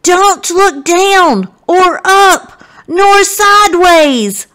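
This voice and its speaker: A woman's voice: extremely high pitch, expressive, drawn-out words, pushed and bright, pitched above the performer's own voice, full character voice. A woman voicing a loyal young frontier boy in a storybook, earnest and emphatic as he calls to his friend. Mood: urgent hollering. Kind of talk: storybook character voice.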